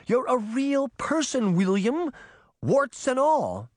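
A man's voice: very high voice, wildly swooping pitch, takes it slowly, no echo, clean studio sound, pitched above the performer's own voice, heavily acted. A man voicing a blunt, impatient and determined girl in a storybook, speaking with insistent emphasis.

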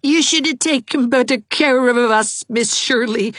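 A woman reading aloud, voicing a cheeky mischievous little boy in a high, boyish voice. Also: a little slow, fairly bright tone, dry recording, studio quality. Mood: whiny.

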